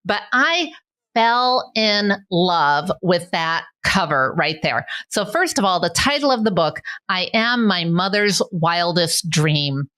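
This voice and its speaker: A woman's voice: fairly low pitch, expressive, takes it slowly, bright, forceful tone. A woman from Michigan hosting an interview podcast, talking eagerly and laughing often. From Michigan, United States. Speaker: podcast host. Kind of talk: conversational interview. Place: home in South Sudan.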